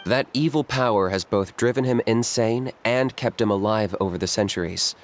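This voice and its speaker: An actor voicing a blunt young male warrior in a game, talking in a dry, flat, matter-of-fact way. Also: on the low side, normal speed, slight background noise, natural voice. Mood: calm. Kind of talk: game voice acting.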